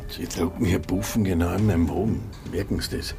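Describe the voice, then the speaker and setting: Really deep gravelly radio guy in a radio play, dry, unflappable, mildly protesting. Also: fairly even intonation, brisk, music in the background. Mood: deadpan, mildly annoyed. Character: dry, unflappable male radio station employee held hostage. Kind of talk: radio drama acting.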